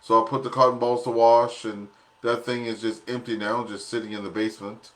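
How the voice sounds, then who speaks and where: A man with a mid-pitched voice chats casually on an amateur radio net. He rambles through explanations in plain, homespun words, feeling his way from one point to the next. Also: flat delivery, brisk, poor audio. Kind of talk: casual chat.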